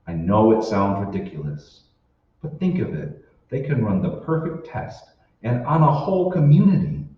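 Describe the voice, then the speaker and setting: A man's voice: on the low side, sing-song, moderate pace. A man playing an earnest, determined radio-drama hero who uncovers a conspiracy, speaking with urgent emphasis. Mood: urgent, astonished. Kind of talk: old-time radio drama acting. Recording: echoey hall, distant, muffled, noisy.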